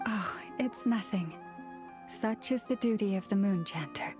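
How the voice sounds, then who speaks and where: A woman portrays a gracious young priestess in a video game. She speaks slowly and formally, composed and courteous, as someone devoted to duty. Soft music plays faintly behind her. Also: medium pitch, close to an everyday voice. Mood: polite, gracious. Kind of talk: video game character acting.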